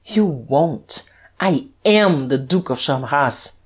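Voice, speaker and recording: Woman as a suave, taunting gentleman duke, husky. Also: low-pitched, animated, slow, exaggerated voice. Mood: scornful. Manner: taunting, coolly superior. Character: suave, cool, scornful aristocratic gentleman duke. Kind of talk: dramatized audiobook reading.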